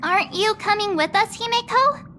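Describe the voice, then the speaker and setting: A woman's voice: very high-pitched, lively intonation, normal speed, sharp tone, slight background noise, full character voice. An actress voicing a cheerful, cocky young woman adventurer who talks in a bubbly, playful and boastful way.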